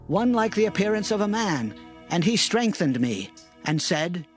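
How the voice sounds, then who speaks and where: A man playing a humble, awestruck prophet recounting his vision in reverent, deliberate scripture drama. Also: sits high, very animated. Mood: awed, recounting. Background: faint music.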